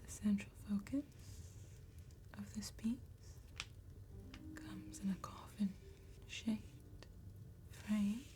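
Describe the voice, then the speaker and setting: Slow, whispering ASMR woman. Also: mid-range voice, fairly even intonation. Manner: slow, gentle, deliberate enunciation with long pauses. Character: soft-spoken female vampire tattoo artist. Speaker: ASMR roleplay performer. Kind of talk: ASMR roleplay, whispered and soft-spoken.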